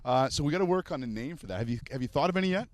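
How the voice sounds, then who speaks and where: Fast-talking upbeat male host outdoors. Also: a little high, expressive, a little mellow, dry recording. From Montreal, Canada. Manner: upbeat, chatty, jokey. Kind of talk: casual on-location show hosting. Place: outdoors by a river in a city.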